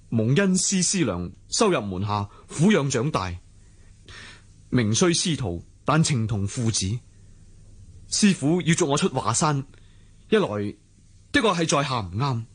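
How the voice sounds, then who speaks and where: A man's voice: a little high, slightly rough, exaggerated voice. An actor playing a loyal, humble young swordsman hero in a radio drama, earnest and deferential, with a Hong Kong Cantonese accent.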